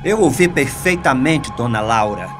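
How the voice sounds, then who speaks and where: An actor playing a calm, fatherly priest in a Brazilian radio soap opera, gentle and measured. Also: medium pitch, lightly acted. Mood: calm, cautious. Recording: clear music under the voice.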